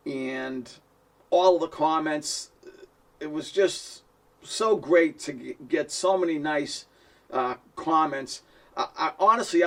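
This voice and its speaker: A man's voice: on the high side, far from the mic. A man with a strong Boston accent, talking casually to camera as a musician and producer, chatty and self-deprecating with frequent chuckles. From Boston, USA. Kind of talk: casual direct-to-camera talk.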